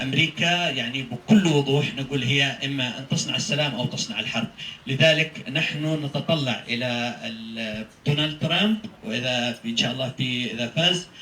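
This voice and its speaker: A man delivers a public statement very quickly, yet with frequent hesitations. His voice is bright and forceful, picked up at a distance in an echoey room. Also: medium pitch. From Yemen. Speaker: human rights lawyer speaking at press conference. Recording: echoey room, distant mic, faint noise.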